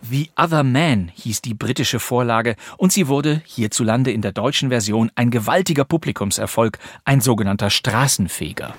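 A man's voice: mid-range voice, moderate pace, hardly any pauses, slightly rough, no echo. A man hosting a podcast with scripted but conversational narration, recorded close in a studio. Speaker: podcast host. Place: dry studio.

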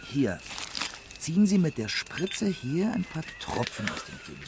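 An actor in a German radio drama playing a brusque investigator who gives curt, commanding orders. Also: male voice, on the high side, big pitch swings, measured pace, noisy background. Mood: businesslike, curt. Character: brusque male investigator examining grisly remains.

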